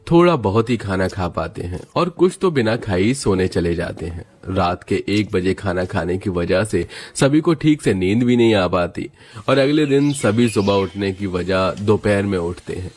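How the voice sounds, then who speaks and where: Low-pitched male storyteller. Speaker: narrator. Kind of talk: scripted story narration.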